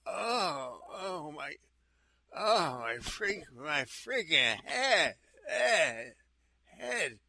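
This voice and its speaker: Guy doing an awkward detective's sidekick, really sharp bright voice, higher pitched, slow and stammery. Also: big pitch swings, creaky, no room sound, studio quality, heavily acted. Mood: dazed, groggy. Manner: halting, stammering, full of hesitations. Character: awkward, stammering male assistant to a private detective. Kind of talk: noir audio drama dialogue.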